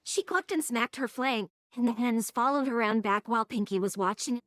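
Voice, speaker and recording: A woman's voice: fairly high pitch, brisk, no echo. A synthetic-sounding woman's voice reading scripted story narration.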